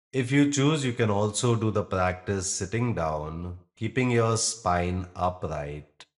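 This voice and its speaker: A man leading a guided yoga nidra meditation in a gentle, soothing and measured manner, leaving pauses between phrases. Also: deep voice.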